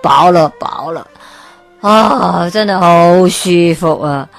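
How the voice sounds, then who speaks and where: Lively Hong Kong storyteller woman. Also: lowish voice, slow, vocal fry, soft background music. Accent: Hong Kong Cantonese. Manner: lively, expressive, chuckling asides. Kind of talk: radio storytelling narration.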